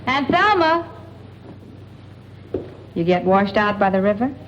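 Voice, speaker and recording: A wry young small-town woman in an old radio drama. She is dry and offhand, mid-pitched, on a muffled, faintly noisy recording. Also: sing-song, slow, a bit bright. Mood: cool, wry. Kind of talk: 1940s radio acting.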